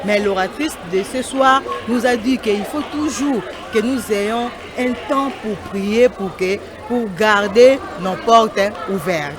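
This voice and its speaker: A man's voice: high voice, slow, few pauses, music in the background. A man speaking animatedly in the style of religious testimony. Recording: noisy outdoor crowd ambience.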